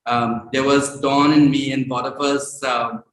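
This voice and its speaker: A man's voice: medium pitch, level tone, a little slow, fairly bright tone. Hesitant man, echoey and noisy. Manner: hesitant, searching for words. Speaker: audience member giving feedback. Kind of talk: informal spoken tribute. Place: indoor event space, at a laptop. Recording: echoey room, clearly noisy with clicks.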